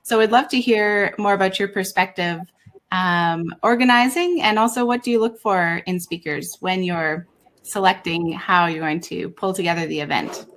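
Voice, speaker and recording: A woman's voice: on the low side, moderate pace, a bit bright. A woman moderating a video-call panel, friendly and organized as she brings in speakers and handles the logistics.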